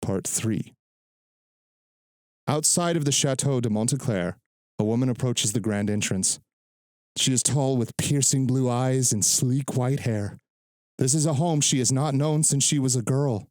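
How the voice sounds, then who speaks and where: Male narrator for a dramatic short story: measured, literary read, mid-pitched voice, dry and close recording. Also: darkish tone, dry recording.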